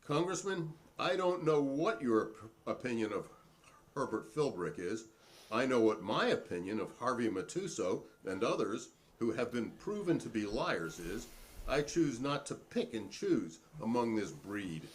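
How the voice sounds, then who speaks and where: A man's voice: mid-range voice, big pitch swings, a little slow. A man playing a defiant, proudly principled hearing witness in a dramatic transcript reading, formal and pointed in manner.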